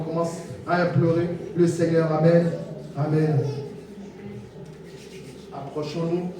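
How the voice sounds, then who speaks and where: Pastor guy leading prayer, flat fast delivery, far off in an echoey church w noise. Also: on the high side. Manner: rapid, monotone exhortation. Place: church hall.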